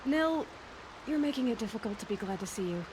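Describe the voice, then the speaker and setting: An actress playing a determined, wry young heroine in a video game, earnest and dry. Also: female voice, on the high side, lively intonation, brisk, noisy background, close to an everyday voice. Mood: exasperated. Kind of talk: video game drama acting. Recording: clear stream and game ambience behind.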